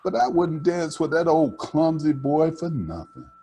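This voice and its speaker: A man's voice: a little high, big pitch swings, measured pace, dark tone, faint music behind, pitched above the performer's own voice. A man voicing a sassy, scornful young girl in a storybook, with exclamatory folksy talk.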